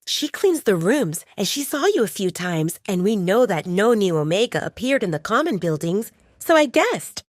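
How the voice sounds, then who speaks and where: A woman's voice: mid-range voice, very animated, normal speed, few pauses, no echo, clean studio sound, natural voice. A woman voicing a grumpy, skeptical kitchen worker of twenty-five in an audio drama, teasing and challenging. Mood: curious. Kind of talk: audio drama character acting.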